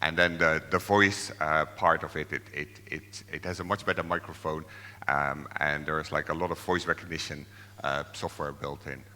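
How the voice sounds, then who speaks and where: Very deep-voiced man presenting in a hall. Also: steady tone, moderate pace, sharp tone. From Netherlands. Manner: hesitant, many fillers and restarts. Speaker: software developer presenting. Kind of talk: conference tech presentation. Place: large conference hall.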